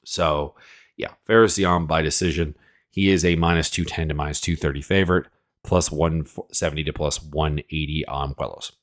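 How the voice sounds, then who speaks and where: A man's voice: rumbling low, fairly even intonation, moderate pace. A man with a cold, sniffling as he rambles through casual, opinionated fight predictions full of fillers. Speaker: MMA analyst host.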